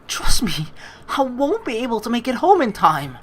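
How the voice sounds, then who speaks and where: A young woman reading a visual novel aloud with joking, swearing asides, animated and quick to laugh. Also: fairly high pitch, a little hiss or hum. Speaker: let's play streamer reading a visual novel.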